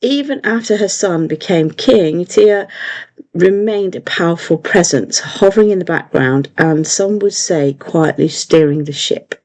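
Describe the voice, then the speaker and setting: Female host, low and husky-throaty, casual live-lesson delivery. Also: unhurried, a little mellow, rough recording. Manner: chatty, with fillers and self-corrections. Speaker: online history tour host. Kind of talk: live-streamed history lesson.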